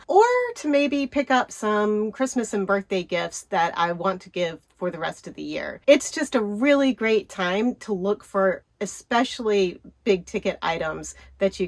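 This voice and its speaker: A middle-aged woman with a stuffy, hoarse voice from a cold, chatting upbeat through a shopping wish-list vlog. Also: medium pitch, a bit bright. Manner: chatty, upbeat, with little laughs. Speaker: travel vlogger.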